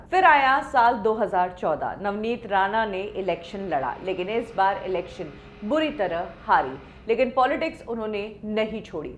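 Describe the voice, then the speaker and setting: An Indian woman presenting a scripted news explainer in an emphatic storytelling manner. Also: mid-range voice.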